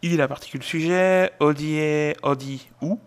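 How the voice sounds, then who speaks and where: A man's voice: fairly high pitch, moderate pace, clear, resonant tone. A French-speaking man co-hosting a casual Korean lesson in a joking, self-mocking manner. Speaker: co-host of a Korean language lesson. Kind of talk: casual teaching with bantering chat.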